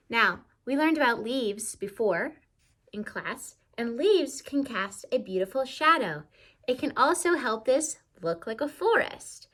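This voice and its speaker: A woman's voice: on the high side, a bit bright. A woman teacher giving a casual how-to lesson for children at home, explaining simply and often checking in with questions. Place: indoors at home.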